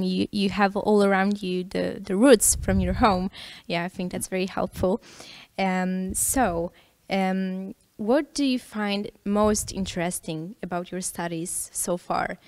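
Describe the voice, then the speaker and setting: A woman's voice: medium pitch, fairly even intonation, measured pace, a little mellow, dry recording. Hesitant woman interviewer, dry sound. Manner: hesitant, many fillers and restarts. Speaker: interviewer host. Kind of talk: studio interview.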